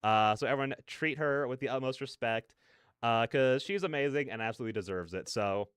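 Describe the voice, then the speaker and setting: Clear-voiced man rambling casually on a livestream. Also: medium pitch, fairly quick, a bit bright, no room sound, clean studio sound. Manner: scattered, self-interrupting, drops playful nonsense filler sounds. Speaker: livestreamer, host. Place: large, fairly empty room with soundproofing panels.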